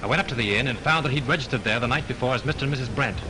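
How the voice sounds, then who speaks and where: An actor playing a sharp male mystery writer who lays out a murder case with brisk confidence, in 1930s film style. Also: medium pitch, noisy background, natural voice. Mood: confident. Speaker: mystery writer turned sleuth.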